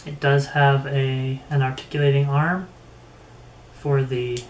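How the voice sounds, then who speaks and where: A man giving a casual, unhurried product review, haltingly thinking aloud as he goes. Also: medium pitch, level tone. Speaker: gear reviewer. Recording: roomy echo with faint background noise.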